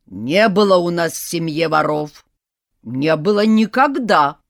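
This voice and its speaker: A woman voicing a scheming, fake-sweet old grandmother who is part snake, cooing and then shrill. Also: sing-song, takes it slowly, dry recording, clean studio sound, heavily acted. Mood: wailing. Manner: cooing, syrupy, turns to shrill wailing.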